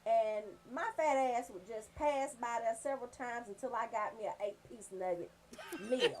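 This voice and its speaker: Woman radio host, high voice all over the place, brash casual funny ranting, cusses a lot. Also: slight background noise. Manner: brash, profane, animated storytelling. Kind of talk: casual comedic rant on radio show.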